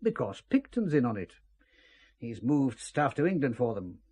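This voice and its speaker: An actor playing a suave, ruthless British intelligence chief in a spy audio drama, speaking with urbane authority. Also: male voice, medium pitch, big pitch swings, normal speed, dark tone, husky. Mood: grave, briefing.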